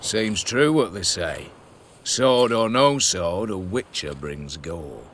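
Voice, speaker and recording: An actor playing a sly, smooth-talking male schemer pitching a heist, with loud music underneath. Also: lively intonation, takes it slowly, a little hiss or hum, heavily acted. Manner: smooth, knowing and persuasive.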